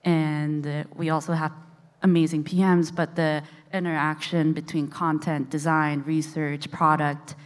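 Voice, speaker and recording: Low-voiced woman, Korean native, in a big hall. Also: level tone. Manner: thoughtful, measured, with fillers. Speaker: product designer on a panel. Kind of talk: conference panel talk. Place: conference stage in a large hall. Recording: long reverb of a large hall.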